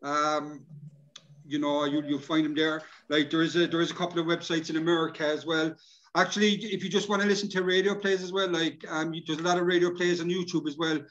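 A man with an Irish accent presenting informally at an online workshop in a casual, matey, halting way. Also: a little high, flat delivery, a bit bright. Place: indoor room, on a video call. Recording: dull lo-fi video call.

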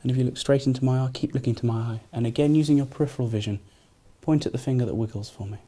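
A man's voice: medium pitch, steady tone, rapid-fire, mellow. A young man with a British accent, a doctor giving courteous exam instructions in a small consulting room. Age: young adult. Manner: courteous, frequently thanking. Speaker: doctor, neurology registrar. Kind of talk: polite clinical exam instructions. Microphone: camera across the room.

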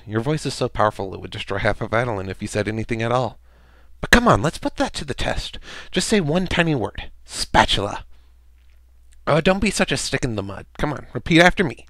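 A man's voice: mid-range voice, big pitch swings, fairly quick, fairly bright tone, no echo. A man voicing a cocky, irreverent mercenary antihero with a sarcastic, playful, taunting manner, as in a character voice demo. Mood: playful, teasing. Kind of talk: amateur character voice acting demo.

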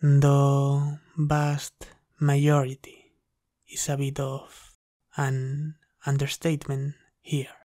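A man playing an obsessive, dominant vampire in an ASMR roleplay, speaking in a hushed, intimate voice that lapses into whispers. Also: medium pitch, monotone, slow pace, no room sound, clean studio sound, close to an everyday voice. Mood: confiding, possessive. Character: obsessive, dominant male yandere vampire, starved for blood. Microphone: close, dry microphone.

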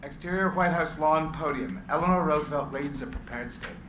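A man with a gravelly voice reading the stage directions aloud at a script reading into a stand mic. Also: fairly high pitch, fairly quick. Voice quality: gravelly from recording work. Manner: clear, expressive reading. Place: meeting room with audience. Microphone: stand microphone. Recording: echoey room, background noise.

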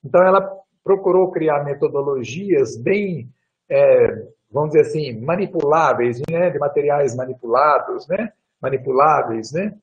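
A man's voice: fairly high pitch, normal speed, dull audio. An older man giving a talk over a video call, rambling with frequent tag questions and restarts. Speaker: guest lecturer. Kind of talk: relaxed lecture answering questions. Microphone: video call webcam.

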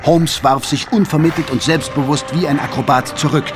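A man's voice: on the high side, steady tone, brisk. A man narrating an audio drama in a dramatic, scripted storytelling style. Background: dramatic music.

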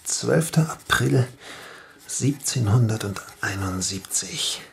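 A tense workingman in a horror audio play, gravelly, noisy background. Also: lowish voice, slow. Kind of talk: horror audio drama acting. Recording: noticeable background noise.

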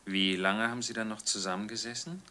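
An actor playing a brisk police detective in a crime radio play, asking matter-of-fact questions. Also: male voice, fairly low pitch, steady tone, sharp tone. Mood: businesslike. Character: brisk male police detective taking statements. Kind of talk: dramatic radio play acting.

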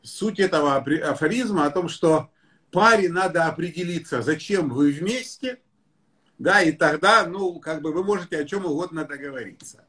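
A man's voice: a little high, normal speed. Effusive older man hosting a live stream. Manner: warm, effusive, enthusiastic. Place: home study with bookshelves. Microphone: webcam or phone on a video call.

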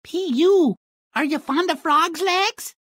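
A woman's voice: extremely high pitch, takes it slowly, fairly bright tone, dry recording, clean studio sound, heavily acted. A woman voicing a spoiled, whiny, rather dim young princess in a fairy-tale video game, petulant and melodramatic. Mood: put out.

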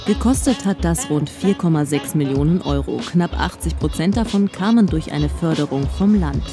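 A woman with a fairly low voice reads a regional TV news story, steady and clear. Music runs clearly underneath her voice-over. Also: moderate pace. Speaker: news reporter.

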